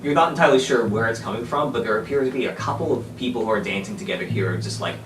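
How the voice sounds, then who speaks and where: A man's voice: fairly low pitch, quick pace. Roomy, distant-sounding man running a roleplay game. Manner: casual, hedging with frequent "like". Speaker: game master. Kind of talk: improvised narration of a tabletop roleplay game. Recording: roomy, distant mic, music behind.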